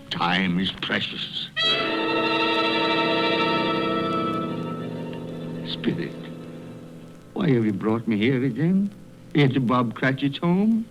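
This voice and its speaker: An actor playing a fearful old miser who pleads for redemption, in a theatrical, halting radio drama manner. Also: male voice, medium pitch, full character voice. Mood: uneasy, hushed. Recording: muffled old radio recording, music behind.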